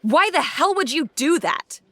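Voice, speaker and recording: A woman's voice: sits high, sing-song, unhurried, a bit bright, no room sound, full character voice. A woman acting as a defiant, hot-tempered police captain in an audio drama, intense and emotional. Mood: outraged.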